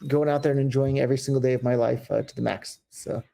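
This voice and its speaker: A man's voice: medium pitch, steady tone, fast talker, vocal fry, dark tone. A man with an American accent, talking candidly as an interview guest, rambling a little with fillers and restarts. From United States. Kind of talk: casual interview talk.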